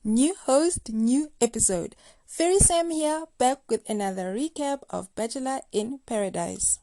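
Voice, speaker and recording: A woman's voice: a little high, unhurried, mellow, no echo. A woman hosting a recap show, reading scripted commentary in a playful, gossipy, slangy way. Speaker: host of a reality TV recap show.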